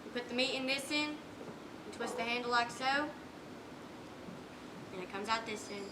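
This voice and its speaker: In an echoey, noisy room, a woman plays a stern, weary country mother on stage. Her voice is high, and her talk is folksy, impatient and scolding. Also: moderate pace, lightly acted. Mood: instructing. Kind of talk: school stage play acting.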